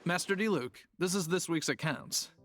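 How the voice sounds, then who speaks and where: Man as high-voiced, deferential tavern worker. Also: normal speed, lightly acted. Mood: worried. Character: anxious, deferential male tavern employee. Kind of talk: video game cutscene acting. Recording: long reverb, music behind.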